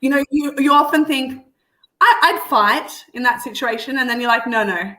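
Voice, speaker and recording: A woman guest telling a story in a halting way, full of "like.". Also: high voice, sharp tone, roomy sound, low-quality recording. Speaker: podcast guest. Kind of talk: casual storytelling.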